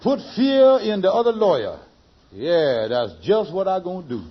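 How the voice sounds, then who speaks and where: A man's voice: high-pitched, sing-song, normal speed. A man playing a scheming, blustering middle-aged con man in a 1940s radio sitcom, stammering and repeating himself. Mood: boastful, scheming. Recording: muffled vintage radio recording.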